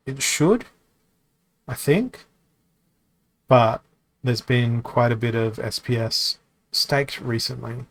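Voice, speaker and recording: A man hosts a live stream of a card game, talking loosely to his audience. His voice sits at a middle pitch, and he rambles with plenty of ums and restarts while he reads out viewer questions and answers them. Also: poor audio. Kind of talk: casual live-stream chat.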